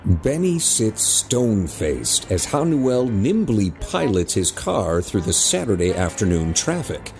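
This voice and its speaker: A man reading scripted story narration in a measured storytelling manner. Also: on the low side, sing-song, gravelly, a little mellow, soft background music.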